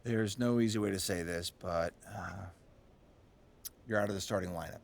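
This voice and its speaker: An actor playing an earnest, weary head basketball coach with a gravelly voice in a video game cutscene. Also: male voice, sits low, moderate pace, slight background noise. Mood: grave, apologetic.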